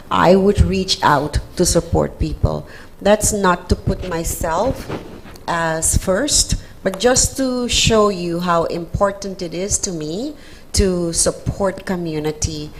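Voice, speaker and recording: Low gravelly Surinamese-born woman, slow. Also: a little hiss or hum, poor audio. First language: Dutch. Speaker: city anti-racism program manager. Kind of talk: panel introduction.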